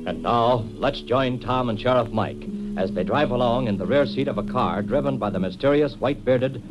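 A man's voice: medium pitch, lively intonation, normal speed, dull audio, over loud music. A man giving scripted narration as the announcer of an old-time radio serial. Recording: noisy old recording with car hum.

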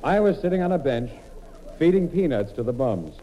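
Male radio comedian, medium pitch, very lively intonation, mock-earnest storytelling, noisy old broadcast recording. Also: fairly quick, dull audio. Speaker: comedian and monologist. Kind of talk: scripted radio comedy narration.

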